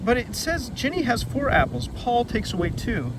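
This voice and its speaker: A man's voice: very high voice, big pitch swings, moderate pace, voice pushed up. A man voicing a cheerful, literal-minded woman housekeeper in a storybook read-aloud, with an eager, simple delivery. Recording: noisy outdoor recording.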